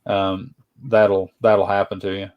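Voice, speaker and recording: A man's voice: sits low, flat delivery, slow pace, highs cut off. A man in his mid-forties chatting easily and agreeably in a group call, with many fillers and little words of assent. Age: 40s. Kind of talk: casual group chat.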